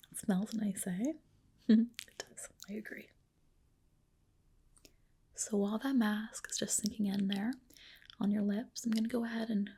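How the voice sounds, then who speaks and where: A woman speaking in a soft, breathy whisper, playing a gentle, reassuring clinician in a personal-attention ASMR roleplay. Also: mid-range voice. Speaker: ASMR performer playing a medi-spa clinician.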